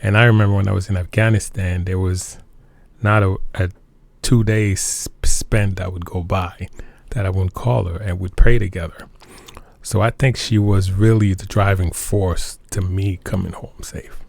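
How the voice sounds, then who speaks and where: Low, gravelly middle-aged man, halting and emphatic. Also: steady tone, a little mellow, no echo. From Montreal, Canada. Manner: halting, repeats phrases for emphasis. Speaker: interview guest, military veteran. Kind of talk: personal conversational interview.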